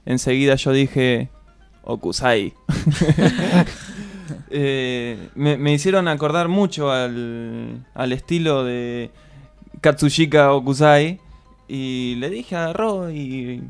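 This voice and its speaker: A man co-hosting a radio show, chatting in a lively way and reading aloud. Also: mid-range voice, takes it slowly, gravelly, clear, resonant tone, faint music behind. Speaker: radio co-host. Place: radio studio.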